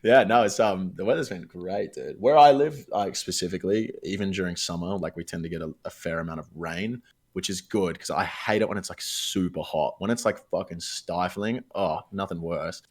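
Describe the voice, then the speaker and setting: A man's voice: low-pitched, normal speed. An Australian man hosting a podcast, chatting casually with a laid-back manner, swearing and calling his guest "dude". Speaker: podcast host. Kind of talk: casual chat.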